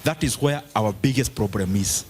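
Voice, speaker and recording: A man's voice: on the low side, moderate pace, darkish tone, slight background noise. A middle-aged man with a Kenyan accent speaking at a public forum and answering audience questions. He talks emphatically and drops Swahili phrases into his English. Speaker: politician addressing a forum.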